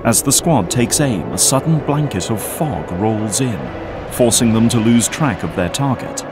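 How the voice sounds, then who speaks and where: A man's voice: medium pitch, lively intonation, a little slow. A man reading scripted narration for an audio drama. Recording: prominent music under narration. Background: music.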